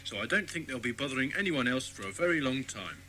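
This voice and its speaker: A man's voice: medium pitch, expressive, fast, pushed and bright, soft background music. A man with a British accent playing a genial, formal police inspector in a children's audio drama. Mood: genial, grateful. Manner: formal, polite, jovial. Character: grateful, genial adult male police inspector.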